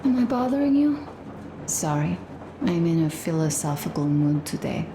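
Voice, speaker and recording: An actress playing a gentle, thoughtful young wife in a post-apocalyptic video game, speaking softly and musingly. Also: female voice, fairly low pitch, sing-song, normal speed. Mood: tender, reflective. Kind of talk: dramatic game dialogue. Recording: echoey room with clear background noise.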